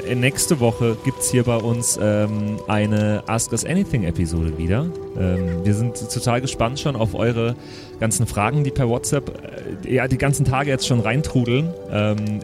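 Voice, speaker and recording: Man chatting over clear background music. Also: on the low side, unhurried. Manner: effusive, thankful. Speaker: podcast host. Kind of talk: casual chat, signing off to listeners. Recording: loud music bed.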